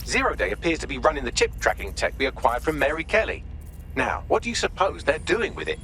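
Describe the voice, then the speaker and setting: A sardonic chatty ai helper for a game, highish bright voice, bit of noise behind. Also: male voice, sing-song, fast, vocal fry, full character voice. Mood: briskly analytical. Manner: wry, sardonic, verbose. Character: wry, chatty male AI assistant, dry and sardonic. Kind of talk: video game voice acting.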